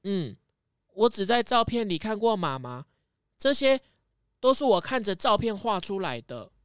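A woman's voice: medium pitch, sing-song, normal speed, clear, resonant tone, no echo, clean studio sound, lightly acted. A woman playing a timid, frightened small child in a children's audio mystery drama. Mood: shy, earnest. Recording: music behind the voice.

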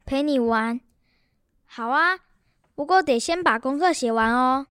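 A woman's voice: sits high, a little slow, a bit bright, clear, resonant tone, no room sound, clean studio sound. A young female performer playing a responsible, earnest boy, the elder brother, in a children's radio drama. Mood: gentle. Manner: earnest, considerate.

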